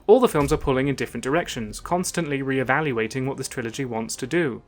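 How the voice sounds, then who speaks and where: A man delivers scripted video essay narration at a medium pitch. He sounds measured and analytical as he argues his case, with faint music behind him.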